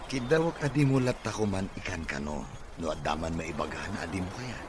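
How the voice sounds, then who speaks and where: Casting: a smitten rich boss, deep and expressive, Filipino accent, radio serial. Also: male voice, brisk. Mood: affectionate, reassuring. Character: well-off boss, a devoted son in love. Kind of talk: Filipino radio drama acting. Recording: noisy radio drama with ambient sound.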